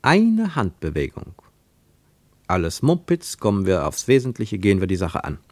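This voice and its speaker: A man with a low voice narrates a literary radio play in a dry studio. His phrasing is pointed and declamatory, with very lively rises and falls. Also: moderate pace, no room sound.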